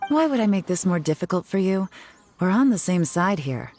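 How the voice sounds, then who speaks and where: A woman's voice: fairly low pitch, sing-song, normal speed, darkish tone. An actress playing a composed, sly young woman investigator in a video game, dry and teasing as she explains. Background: faint game music.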